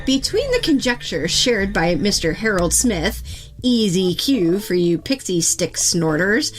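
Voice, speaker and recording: A woman's voice: on the high side, a bit bright, poor audio. A woman playing a skeptical news anchor who co-hosts a satirical local broadcast with dry, sarcastic delivery. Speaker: co-host. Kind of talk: scripted satirical newscast.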